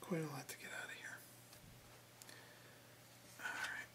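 A man whispering softly and breathily in an ASMR roleplay, hesitant and muttering to himself as he works as a mechanic. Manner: slow, hesitant, muttering to himself while working. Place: indoor room, close to camera.

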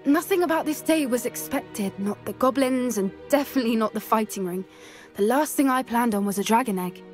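A woman's voice: a little high, brisk, soft background music, close to an everyday voice. A woman voicing a student's inner monologue in a video game, musing uneasily. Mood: uneasy.

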